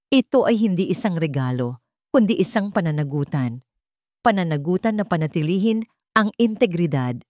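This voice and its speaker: A woman's voice: on the low side, darkish tone, no room sound, studio quality. A woman with a Filipino accent narrating a scripted dramatic story.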